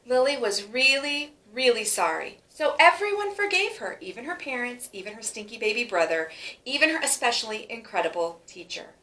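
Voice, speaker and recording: Bright-voiced woman reading a storybook, roomy sound. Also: fairly high pitch, moderate pace. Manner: animated, stretches words for emphasis. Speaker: storybook reader. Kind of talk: expressive children's read-aloud.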